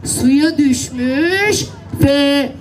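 A woman with a high voice tells a children's tale at a slow pace. She repeats phrases and coaxes young listeners with simple instructions. A faint crowd murmurs behind her at a live event. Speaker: children's storyteller. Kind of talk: animated storytelling and directing children in acting out a tale. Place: outdoor seaside children's event. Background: faint crowd and ambient noise.

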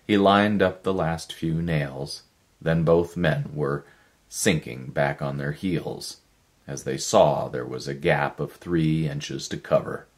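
A man in his fifties narrates a dramatic story. His voice is extremely low, and he takes his time, reading slowly and deliberately. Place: small living room with a stove.

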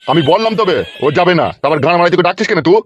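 A man's voice: high-pitched, rapid-fire, dull audio, slight background noise, lightly acted. A man voicing an argumentative, foul-mouthed village father in a cartoon. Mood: irritated. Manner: gruff insults, argumentative.

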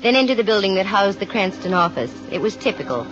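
A woman's voice: mid-range voice, sing-song, fast, natural voice. An American actress playing a wry, self-assured female private investigator in a 1950s radio drama, with dry wisecracking delivery. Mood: wry, breezy. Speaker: lead actress and narrator. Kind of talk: 1950s radio detective drama acting, with first-person narration. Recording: muffled old radio recording, music behind.

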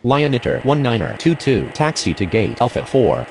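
A man's voice: medium pitch, big pitch swings, normal speed, vocal fry, a little mellow. An actor playing a matter-of-fact male airline radio speaker in a game, in clipped aviation phrases. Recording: faint vehicle and ambient noise.

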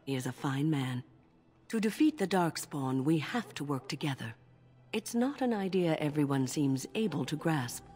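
Elderly woman mage, low voice, wise and composed, measured formal speech, fantasy game dialogue, music behind. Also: lively intonation, a little mellow, husky. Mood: thoughtful. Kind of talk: video game dialogue acting.

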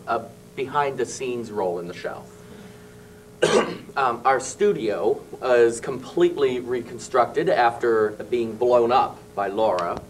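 A young man talking as a guest on a small local TV studio show, halting with many fillers and small laughs, into a handheld mic. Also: medium pitch, recorded from a distance, slight background noise, poor audio. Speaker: cast member guest. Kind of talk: casual studio chat. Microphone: handheld mic held by host.

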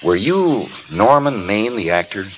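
An actor playing a disdainful night court judge, formal and authoritative, in a 1930s radio drama. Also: male voice, fairly low pitch, very animated, a little slow. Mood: stern. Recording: old hissy radio broadcast.

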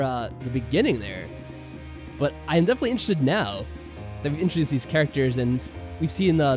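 A man's voice: on the high side, darkish tone. A man hosting a gaming video in casual chat, rambling and a little self-deprecating. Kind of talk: casual chat over a game playthrough.

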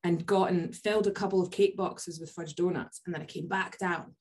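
A woman's voice: lowish voice, fairly even intonation, fairly quick, darkish tone, slight background noise. A woman, a podcast co-host telling rambling stories with many fillers on a video call. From Scotland. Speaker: podcast co-host from a family bakery. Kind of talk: casual video call chat. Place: indoor office with posters. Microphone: video call webcam.

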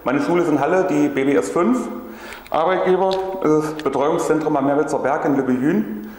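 A man from Saxony-Anhalt introduces himself briskly and with dry humor. His voice sits in a strongly echoing hall. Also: fairly high pitch, darkish tone. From Saxony-Anhalt, Germany. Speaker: nursing student contestant. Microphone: handheld mic.

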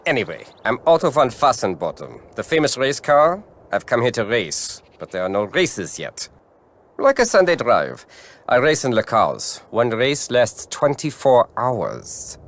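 A man's voice: medium pitch, big pitch swings, normal speed, a bit bright. A man voicing a boastful, impatient male race car who speaks stiffly and formally. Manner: stiff, proud, formal phrasing. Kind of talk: animated game voice acting.

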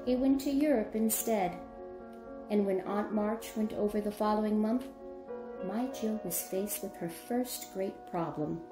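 Echoey actress as a motherly narrator in a radio play, fond and measured, music behind. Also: mid-range voice, natural voice. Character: fond motherly storyteller narrating a family tale. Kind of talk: scripted radio drama narration. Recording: roomy echo with music underneath.

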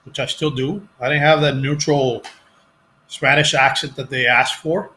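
A man in his forties with a Cuban accent, telling stories about his acting career in a casual video-call interview, animated and often restarting his sentences. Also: medium pitch, unhurried. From Cuban family. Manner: animated, acts out quoted voices, restarts sentences. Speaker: actor, interview guest. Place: home room, video call. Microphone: headset.

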